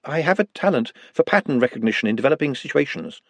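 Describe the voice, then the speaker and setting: A man voicing a timid, posh and very articulate small man in an audiobook, formal and precise, with a husky voice. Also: medium pitch, animated, fast, no room sound.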